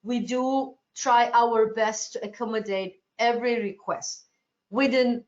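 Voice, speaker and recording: A woman's voice: on the high side, fairly even intonation, a little slow. A woman from Turkey answering agents' questions in a webinar, restarting sentences often in a reassuring, accommodating way. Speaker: cruise sales presenter. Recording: lo-fi webinar audio.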